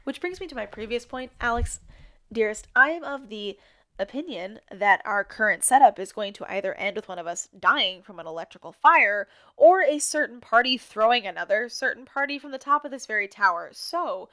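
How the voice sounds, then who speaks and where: A woman's voice: a little high, lively intonation, normal speed, bright, forceful tone. A woman playing an outspoken radio host in an audio drama, with a dry, pointed, sardonic way of talking. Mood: wry, insistent. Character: outspoken, exasperated female radio host, wry and determined.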